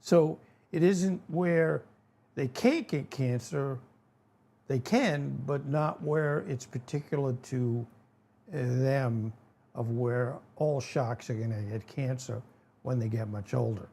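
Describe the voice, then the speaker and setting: A man's voice: medium pitch, slow pace, gravelly, mellow. A man in his seventies with a Rhode Island accent, casually explaining animal myths in a folksy, rambling way with many fillers. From New England. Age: 70s. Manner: rambling, folksy, frequent fillers and self-corrections. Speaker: channel host. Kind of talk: casual educational talk to camera.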